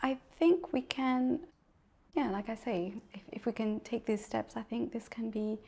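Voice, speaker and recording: A woman's voice: fairly high pitch, measured pace, gravelly, dark tone. A middle-aged woman author from Mauritius, chatting as an interview guest, laughing often and restarting her sentences. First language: English. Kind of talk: casual interview conversation.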